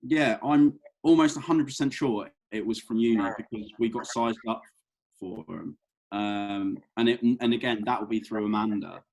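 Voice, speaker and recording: Young male, fairly low voice, casual team-meeting chat, relaxed informal phrasing. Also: normal speed, rough recording.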